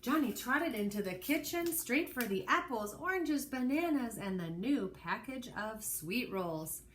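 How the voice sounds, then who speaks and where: A woman with a fairly high voice reads a picture book as an early learning teacher. She goes slowly and expressively, stopping to explain or ask questions, over noticeable background noise. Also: expressive, fairly bright tone. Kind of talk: children's storybook read-aloud.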